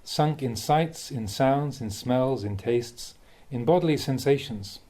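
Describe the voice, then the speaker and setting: A man, a Buddhist monk, reading a dharma talk aloud in a measured, reflective way with the occasional soft chuckle. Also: medium pitch.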